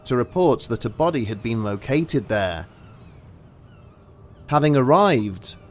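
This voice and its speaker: A man narrating a scripted documentary story in a measured, grave and formal manner. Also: medium pitch.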